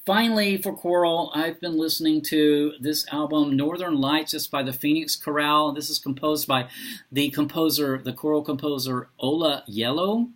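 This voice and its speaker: A man talking casually and unscripted as a vlogger, halting often as he searches for his words. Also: on the high side, steady tone. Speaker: book and reading vlogger. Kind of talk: casual unscripted vlog talk.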